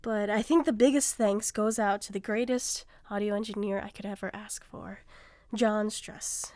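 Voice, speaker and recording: A woman's voice: mid-range voice, steady tone, moderate pace, darkish tone, dry recording. A woman, a show's creator and voice actor, giving a casual spoken outro with thanks and credits.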